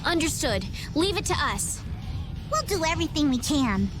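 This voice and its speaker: A woman playing a gentle, polite, earnest young heroine in an anime game dub, with music behind her. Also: high voice, sing-song, moderate pace. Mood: earnest resolve.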